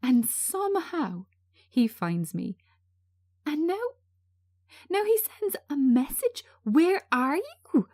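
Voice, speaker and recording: A woman's voice: sits high, very animated, mellow, no echo, clean studio sound, pitched above the performer's own voice, heavily acted. Woman acting anxious, traumatised woman on edge. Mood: agitated, despairing. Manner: agitated, halting, repeating herself.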